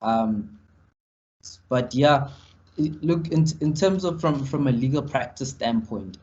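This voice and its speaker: A man on a legal panel, answering in a halting way full of ums and restarts over a video call. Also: mid-range voice, darkish tone. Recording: muffled video call audio.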